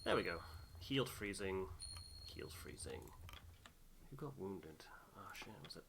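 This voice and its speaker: A man's voice: low-pitched, very animated, measured pace, vocal fry, background noise. A man giving casual game commentary as he plays, muttering half to himself. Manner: muttering to himself while playing. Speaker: gamer, playthrough host.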